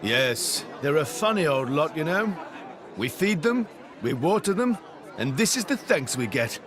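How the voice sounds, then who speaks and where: A man's voice: on the high side, big pitch swings, unhurried, noisy background. A man with a British accent playing a jaunty, condescending guide with pompous, theatrical airs in a video game. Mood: haughty, exasperated. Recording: game music and crowd behind.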